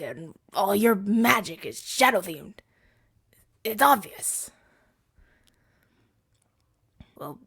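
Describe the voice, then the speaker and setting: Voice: medium pitch, fairly bright tone, no echo, studio quality, exaggerated voice. A woman playing a flustered, self-righteous hero in a scripted ASMR audio drama, with a theatrical, stammering delivery. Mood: indignant, teasing. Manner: stammering, indignant, dramatic delivery with breathy whispers.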